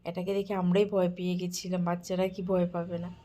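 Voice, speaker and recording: A woman giving an admiring, soft-spoken narrated walk through an exhibit, now and then dropping to a whisper. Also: on the low side, flat delivery, fairly quick. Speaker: vlogger touring a village-life exhibit. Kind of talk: casual narrated walkthrough.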